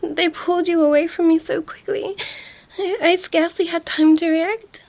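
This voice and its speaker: A woman's voice: very high-pitched, steady tone. A woman playing a young princess, commanding yet tender and distraught, in an emotional ASMR roleplay monologue. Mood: shaken, tender. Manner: hushed, breathy, halting, emotional delivery.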